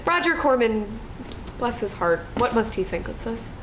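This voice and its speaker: High-voiced emphatic woman in an echoey room. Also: big pitch swings, moderate pace. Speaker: co-host of a horror news show. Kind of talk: casual opinionated chat. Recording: roomy echo with faint noise.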